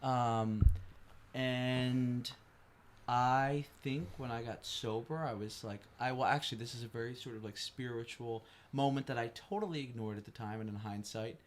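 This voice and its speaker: A man from Philadelphia talks as a podcast guest, candid and quick to poke fun at himself. His voice sits at an ordinary pitch. There is a light background hum, and he speaks into a headset mic in a studio. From Philadelphia, USA. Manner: joking, self-mocking, candid. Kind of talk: casual chat.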